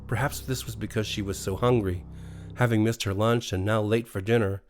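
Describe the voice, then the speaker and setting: A man with an American accent reading a scripted bedtime horror story in an expressive, dramatic way. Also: fairly low pitch, a little fast. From USA. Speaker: storyteller, narrator. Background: faint music.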